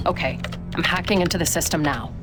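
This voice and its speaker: An actress plays a tough female agent from a video game. She gives orders briskly with a teasing, self-assured edge. Music plays under the voice. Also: low voice, close to an everyday voice. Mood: brisk, commanding. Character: tough, confident American female special agent. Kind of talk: video game cutscene acting.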